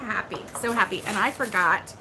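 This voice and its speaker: A woman's voice: medium pitch, normal speed, background noise. A woman hosting a casual crochet livestream from a back porch, chatting with a friend and greeting viewers by name, laughing often. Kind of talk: casual live chat with viewers. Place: back porch, indoors, air conditioned.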